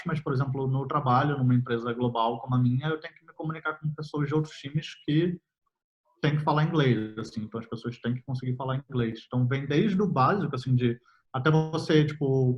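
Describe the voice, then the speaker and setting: A young man, a software developer talking casually in an interview, relaxed and full of fillers, laughing easily. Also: mid-range voice. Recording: slightly muffled audio.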